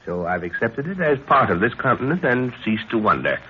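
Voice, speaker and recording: A man's voice: medium pitch, very animated, close to an everyday voice. A man playing a grave, fatherly middle-aged explorer professor in a 1930s radio drama. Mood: calming. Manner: measured, paternal, grave. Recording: dull, noisy 1930s radio recording.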